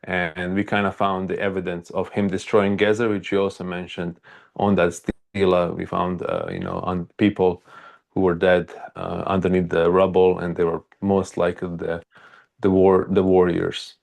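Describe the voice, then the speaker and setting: A man from Croatia with a Croatian accent, telling his story haltingly and casually as an interview guest, with many hesitations. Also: low-pitched, little pitch movement, vocal fry, a little mellow. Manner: halting, frequent hesitations and filler words. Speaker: archaeologist and video creator, interview guest.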